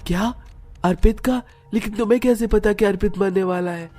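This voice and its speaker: A man voicing a brash teenage schoolboy in an animated horror story. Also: high-pitched, brisk. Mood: shocked, fearful. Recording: music under the voice.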